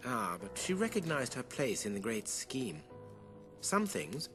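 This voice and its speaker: A man playing a grave, cryptic teller of dark secrets in a video game cutscene, ominous and dramatic, often hushed. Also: mid-range voice, wildly swooping pitch, measured pace. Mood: ominous, secretive. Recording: loud music over the voice.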